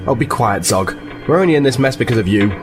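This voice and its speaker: A man's voice: medium pitch, animated, quick pace, over music, close to an everyday voice. A man playing an exasperated, plainspoken starship officer in a sci-fi audio drama, wry and conversational. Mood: irritated.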